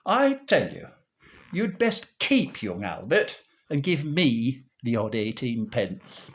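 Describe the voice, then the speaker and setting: A man's voice: medium pitch, big pitch swings, slow pace. A man voicing a tight-fisted, blustering working-class father in a comic monologue, haggling indignantly.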